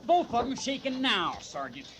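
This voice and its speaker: Whiny, high-voiced grumbling cavalry trooper. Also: male voice, sing-song, normal speed. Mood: whiny, protesting. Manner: folksy, complaining drawl. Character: grumbling, work-shy army trooper, folksy and wheedling. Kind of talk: old-time radio western acting. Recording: hissy vintage radio recording.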